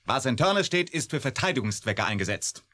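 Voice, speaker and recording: A man's voice: medium pitch, big pitch swings, brisk, bright, forceful tone. An actor in a science fiction radio play, playing a brusque, dismissive military man.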